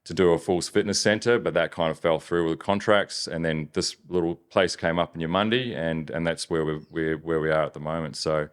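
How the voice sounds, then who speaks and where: A man from New Zealand with a softened Kiwi accent, chatting casually as a business owner in an interview, rambling with many restarts. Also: low voice, fairly even intonation, brisk, hardly any pauses, smooth. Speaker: business owner, podcast guest.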